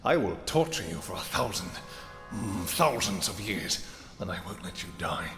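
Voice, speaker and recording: An actor playing a regal, possessive vampire king in a fantasy audio roleplay, with archaic, theatrical speech and halting pauses. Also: low voice, big pitch swings. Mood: vengeful fury. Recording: echoey hall reverb with music.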